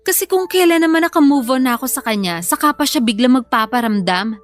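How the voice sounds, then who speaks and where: A woman playing a soft-hearted young single mother with a hesitant, apologetic manner in an audio drama. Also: sits high, lively intonation, brisk, faint music behind. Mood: troubled.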